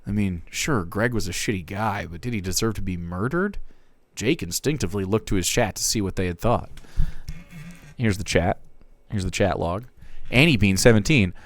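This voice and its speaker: A man streamer giving a dramatic reading with wry, deadpan asides. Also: fairly low pitch, moderate pace, no room sound.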